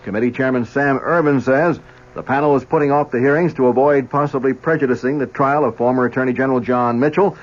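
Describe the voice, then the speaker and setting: A man's voice: medium pitch, steady tone, quick pace. Formal male newsreader on muffled old radio. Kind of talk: scripted 1970s radio news reading. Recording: dull vintage radio broadcast.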